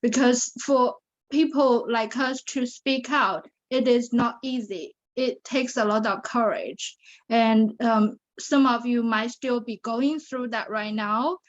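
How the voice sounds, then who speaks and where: A woman with a Chinese accent and a fairly high voice talks earnestly as an interview guest. She often pauses on fillers and restarts her sentences. The sound is dull and lo-fi, as if from an online call. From China. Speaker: guest, survivor advocate. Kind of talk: interview on a video show.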